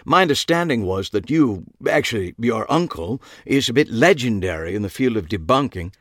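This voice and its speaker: A man's voice: mid-range voice, lively intonation, normal speed, fairly bright tone, dry recording, clean studio sound. Man putting on a pompous, affected British eccentric. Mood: smooth, pompous. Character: tall eccentric British male journalist, affected and disdainful.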